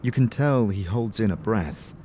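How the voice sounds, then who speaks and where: A man narrating a scripted fantasy audio drama in a measured, dramatic way. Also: lowish voice, animated, warm tone, slight background noise.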